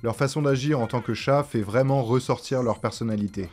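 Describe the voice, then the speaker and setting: A man's voice: medium pitch, fairly quick, darkish tone. A man presenting a video essay in scripted narration, with casual slang and joking asides. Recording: music behind the voice. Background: background music.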